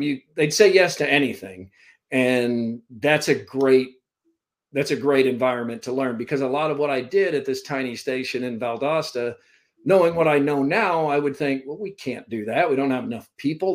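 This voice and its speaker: A man in his fifties from Vermont, a sports broadcaster telling stories as a podcast guest, rambling and self-deprecating, speaking over a computer call. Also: medium pitch. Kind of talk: casual storytelling interview. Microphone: remote call from a computer.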